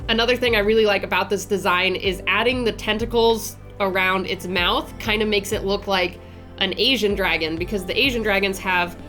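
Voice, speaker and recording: A young woman in her twenties narrates her art vlog in a relaxed, friendly way, her voice noticeably nasal from a lingering cold. She rambles cheerfully with plenty of ums and self-corrections. Soft music sits quietly underneath. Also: mid-range voice, moderate pace. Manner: chatty, upbeat, many fillers and restarts. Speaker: art channel host.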